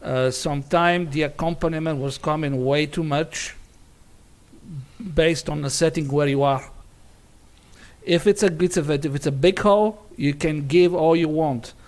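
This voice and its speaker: A man, a pianist on a jury panel, giving critique in a halting way with frequent restarts. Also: on the high side, a little mellow. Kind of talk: spoken critique.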